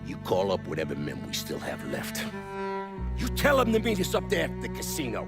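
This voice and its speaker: An actor playing a bitter, cornered older American mob boss in a game cutscene, profane, brooding and commanding. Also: male voice, fairly low pitch, huge pitch swings, brisk, over loud music. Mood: grimly resolved. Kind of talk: dramatic game cutscene acting.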